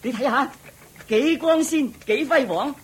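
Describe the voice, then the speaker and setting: A man playing a carefree, servile royal charioteer in a Cantonese audio drama, excitable and chirpy. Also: very high voice, a little slow, a little hiss or hum. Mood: soothing, cheery.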